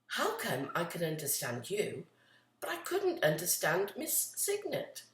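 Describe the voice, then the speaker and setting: A woman's voice: lowish voice, wildly swooping pitch, unhurried. A woman voicing a young female storybook swan, shy and curious, with a simple, wondering manner. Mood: puzzled. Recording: roomy, echoey, distant from the mic.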